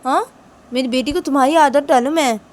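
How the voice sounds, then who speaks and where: A woman's voice: medium pitch, normal speed, voice pushed down, natural voice. A woman voicing a wealthy mother who is a firm boss, questioning sharply and reassuring her employee. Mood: irritated. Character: strict wealthy mother, female boss, firm but kind.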